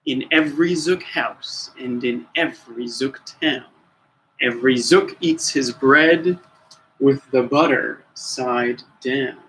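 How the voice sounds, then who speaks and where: A man's voice: mid-range voice, takes it slowly, fairly bright tone, low-quality recording. A man acts out a very old grandfather in a children's storybook. He is grave and suspicious, warning with weighty emphasis. Some background noise sits under the voice. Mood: grave, indignant.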